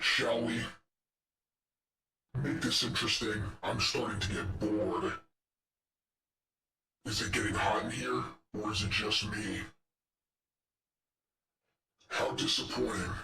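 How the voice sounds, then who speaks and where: A man's voice: lowish voice, pushed and bright, far from the mic, full character voice. A man voicing a menacing, arrogant robot henchman in a video game, fiery and sadistic, with taunting, condescending lines. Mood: smug, taunting. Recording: echoey room, off the microphone.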